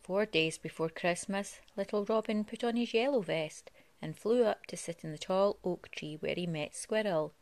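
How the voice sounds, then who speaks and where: A woman reading a children's story aloud in an expressive way, like a parent at storytime. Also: mid-range voice, normal speed, no room sound. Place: living room at home.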